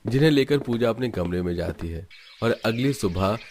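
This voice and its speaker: A man's voice: lowish voice, animated, normal speed, a little mellow, a little hiss or hum. A man reading scripted narration for a cartoon family story.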